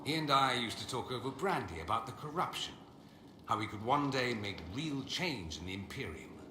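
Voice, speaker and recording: An actor playing a witty, proud mage in a video game, speaking in a theatrical, sardonic and articulate manner. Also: male voice, medium pitch, lively intonation, normal speed, close to an everyday voice. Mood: rueful. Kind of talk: dramatic video game dialogue. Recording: roomy echo, faint ambient noise.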